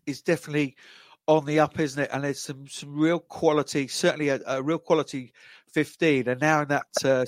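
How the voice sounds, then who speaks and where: A man hosting a podcast, chatting casually and stumbling over his words as he restarts phrases. His voice sits a touch high for a man. The sound is dry and close, with no room around it. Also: no room sound. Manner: halting, repeats words often. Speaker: podcast host. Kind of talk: casual interview chat.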